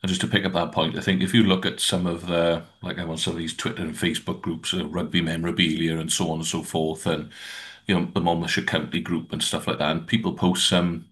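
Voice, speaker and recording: A man with a very deep voice discusses rugby casually as a podcast panellist. His intonation stays fairly even, and he talks in a measured, rambling, matter-of-fact way.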